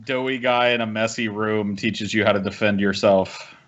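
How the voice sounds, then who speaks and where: Male co-host, lowish pitch. Casual, sardonic, foul-mouthed podcast banter. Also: fairly even intonation, moderate pace, fairly bright tone, dull audio. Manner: sardonic, profane.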